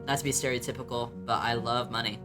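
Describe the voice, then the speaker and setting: A young man with a light voice carrying some bass, reacting to his old videos in casual talk, blunt and self-mocking, swearing freely and filling pauses with ums. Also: steady tone, over music. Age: young adult.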